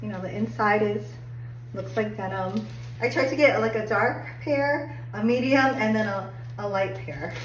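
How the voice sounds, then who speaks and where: Hoarse woman, chatty and upbeat, clothing try-on review, echoey room, faint hum. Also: medium pitch, normal speed, far from the mic. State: hoarse, getting over a cold. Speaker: fashion haul vlogger.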